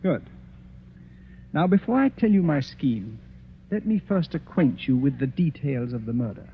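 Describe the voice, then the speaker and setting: An actor playing a proud, egotistic detective who speaks in a boastful, commanding way, in 1940s radio acting style. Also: male voice, mid-range voice, sing-song, normal speed. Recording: tinny phone-like old radio recording with music.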